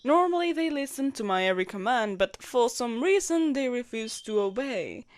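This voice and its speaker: A woman's voice: a little high, expressive, moderate pace, dry recording, natural voice. A woman playing a loyal young knight commander in a roleplay audio drama, formal and courteous but easily flustered. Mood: composed, courteous.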